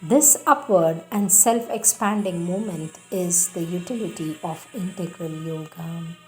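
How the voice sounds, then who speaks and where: A woman giving a devotional reading of a spiritual text in a solemn, measured way, with emphatic phrasing. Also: fairly low pitch, poor audio. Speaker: reader of spiritual texts. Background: music.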